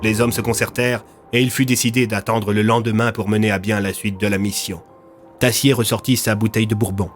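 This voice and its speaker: A man's voice: fairly low pitch, flat delivery, brisk. A man narrating a scripted audio fiction story in a dramatic storytelling style. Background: music.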